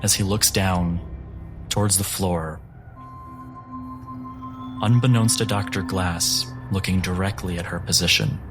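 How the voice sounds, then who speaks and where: Male game master, unhurried deliberate narration, low voice, over a clear music bed. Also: fairly even intonation. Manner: slow, deliberate storytelling delivery.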